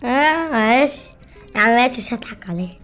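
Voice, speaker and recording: A woman's voice: on the high side, expressive, quick pace, music in the background. A woman with an Egyptian accent chatting casually and teasingly as she hosts a gaming video. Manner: playful, teasing. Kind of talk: casual chat while playing a game.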